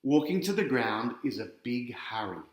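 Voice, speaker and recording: A man's voice: medium pitch, sing-song, normal speed, echoey room. An Australian man reading a children's picture book aloud, adding chatty asides and talking directly to young listeners.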